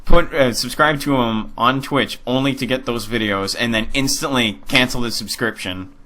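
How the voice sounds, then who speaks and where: A man co-hosting a podcast, telling a story in an animated way with stumbling restarts. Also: mid-range voice, normal speed. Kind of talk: casual podcast chat.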